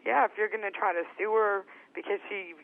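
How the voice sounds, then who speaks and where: A woman's voice: medium pitch, fairly even intonation, brisk. A woman calling into a radio show by telephone, arguing in a blunt, firm, dismissive way. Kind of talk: call-in radio argument. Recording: muffled narrow telephone line.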